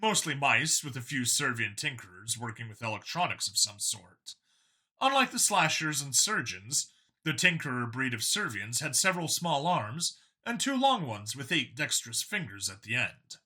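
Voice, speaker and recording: Casting: man for dramatic audiobook narration, expressive and varied pitch, bright, forceful tone. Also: normal speed, husky. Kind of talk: dramatic scripted story narration.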